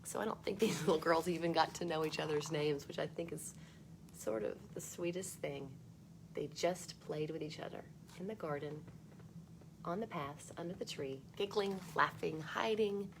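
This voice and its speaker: A woman reading a picture book aloud for children, expressive, with chatty asides spoken straight to young listeners. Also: deep voice, moderate pace, gravelly, background noise.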